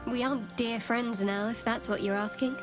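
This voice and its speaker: A woman playing a guarded, sharp-tongued adventurer in a video game, with a dry, pointed, composed delivery. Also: on the high side, steady tone, moderate pace, music in the background, lightly acted. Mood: wary, conciliatory. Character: guarded, sardonic young female adventurer, sharp-tongued and steely.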